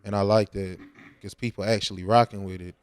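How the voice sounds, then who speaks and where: A man's voice: fairly low pitch, fairly even intonation, fairly quick, clear, resonant tone. A young man, talking casually and slangily as a rapper in an interview. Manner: informal, slangy.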